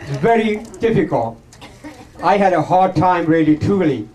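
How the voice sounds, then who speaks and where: A man's voice: on the high side, animated, normal speed, noisy background. A man in his seventies with an Iranian accent, a native Farsi speaker giving a humble, joking acceptance speech with many hesitations. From Iran. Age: 70s. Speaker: award recipient, author.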